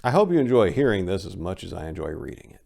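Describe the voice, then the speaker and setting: A man narrating a story in a dramatic, theatrical way, with deliberate phrasing and emphatic swells. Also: deep voice, very animated, vocal fry, mellow. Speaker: podcast host and narrator.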